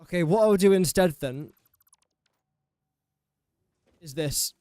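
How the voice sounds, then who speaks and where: A man's voice: high voice, lively intonation, slow, dark tone, no echo, studio quality. A young man from England with an English accent, chatting casually while streaming a building game and talking to the pieces he places in a self-deprecating way. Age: young adult. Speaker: gaming streamer. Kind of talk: casual live gameplay commentary.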